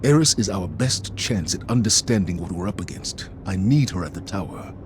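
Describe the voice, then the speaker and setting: A man playing a stern, resolute military commander in a video game, speaking gravely in clipped, authoritative phrases. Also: sits low, lively intonation, moderate pace, noisy background. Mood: grave, commanding.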